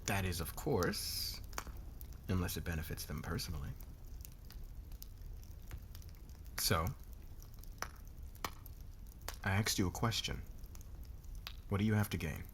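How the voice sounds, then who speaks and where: A man playing a demanding, calculating corporate boss in a scripted ASMR roleplay, with a sardonic, deliberate, commanding manner. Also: low-pitched, noisy background, lightly acted. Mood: probing, sardonic.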